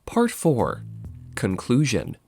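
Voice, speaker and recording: A man's voice: mid-range voice, wildly swooping pitch, takes it slowly, vocal fry, a little mellow, husky. A man narrating a scripted video essay in an emphatic, dramatic way. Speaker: video essayist and channel host. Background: music.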